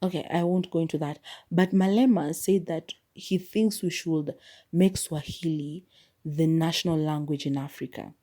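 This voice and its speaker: A woman's voice: low-pitched, fairly even intonation, normal speed, mellow. A young woman hosting a solo podcast, speaking her mind candidly and mocking what others say. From Kenya. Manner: opinionated, candid, mock-quotes people. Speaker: podcast host.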